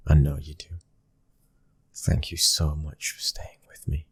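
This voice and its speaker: A man playing a gentle, devoted mafia husband and father with a British accent. His voice is extremely deep and very breathy, often sinking to a whisper. The delivery is even and intimate, in a soft ASMR roleplay style, recorded close and dry. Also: slow pace, no room sound, full character voice. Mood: loving, tender.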